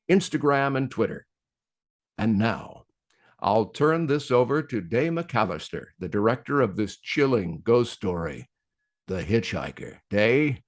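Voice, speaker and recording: Male presenter, mid-range voice, reading a scripted introduction and fundraising appeal. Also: medium pitch.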